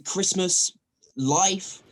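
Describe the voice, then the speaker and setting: A man acting a cheerful, naive, excitable nephew in a comic radio play, gushing and exclamatory. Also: high voice, drawn-out words. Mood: jovial.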